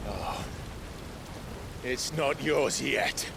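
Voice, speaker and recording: Gravelly, defiant fantasy hero. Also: male voice, medium pitch, animated, extremely slow, full character voice. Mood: strained. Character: defiant, anguished male fantasy hero, gruff and fierce. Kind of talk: cinematic fantasy game acting. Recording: noisy rainy ambience.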